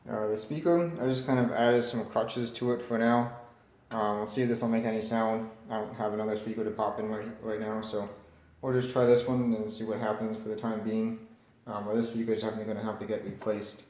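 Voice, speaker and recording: A man's voice: lowish voice, fairly even intonation, a little fast, a little mellow. A man casually narrating a hands-on repair, tentative and thinking aloud as he works. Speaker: hobbyist radio repairer presenting. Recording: roomy echo with faint background noise.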